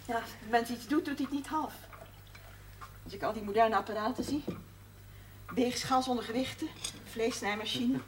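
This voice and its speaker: An actress playing an earnest farmer's wife who now keeps a shop, confiding and at times near a whisper, in a 1970s TV drama. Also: female voice, on the high side, normal speed, pauses often, slight background noise, natural voice. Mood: welcoming. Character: earnest farmer's wife turned shopkeeper, middle-aged woman. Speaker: shopkeeper's wife.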